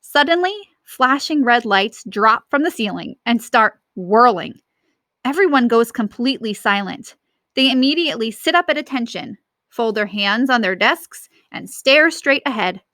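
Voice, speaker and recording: Woman, storybook narration, expressive, fairly high pitch, bright tone, close dry sound. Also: moderate pace, dry recording. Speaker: teacher reading aloud.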